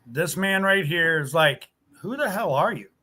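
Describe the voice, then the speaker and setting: A man's voice: a little high, expressive, measured pace, bright, forceful tone. A man speaking emphatically and directly to listeners in a casual, motivational livestream chat. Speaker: YouTube creator, livestream co-speaker.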